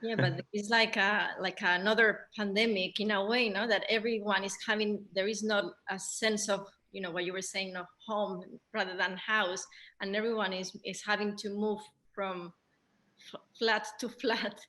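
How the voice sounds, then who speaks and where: A woman with as her moderates a theatre discussion at a middling pitch. She speaks hesitantly, circling back on phrases and pausing as she thinks. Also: little pitch movement, sharp tone. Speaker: theatre director hosting a Q&A.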